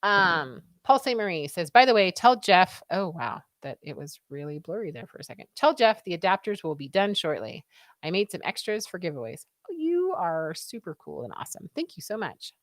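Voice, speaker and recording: A woman's voice: lowish voice, sing-song, moderate pace, no echo, clean studio sound. A woman with a scratchy voice hosting a live stream, chatting casually and reading out viewer comments. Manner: chatty, rambling, reads comments aloud. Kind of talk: casual chat.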